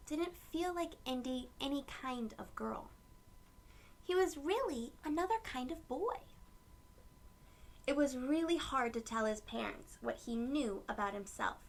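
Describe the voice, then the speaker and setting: A woman with a fairly high voice and a noticeable creak reads a children's story aloud. She phrases things gently and simply, speaking to young listeners as she goes. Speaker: storyteller reading a picture book aloud to children. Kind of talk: children's read-aloud narration with asides to listeners.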